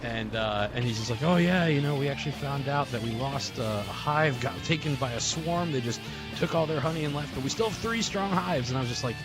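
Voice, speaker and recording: A man's voice: medium pitch, animated, brisk. A man speaking casually in a group remembrance, telling rambling stories as a podcast host. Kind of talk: casual reminiscing in a group memorial call. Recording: soft guitar music behind. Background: guitar music.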